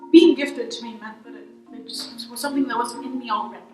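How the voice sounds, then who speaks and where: A woman's voice: a little high, fast talker, darkish tone, soft background music. A young woman undergraduate, earnestly recalling her school experiences in an interview. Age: young adult. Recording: roomy, from a distance.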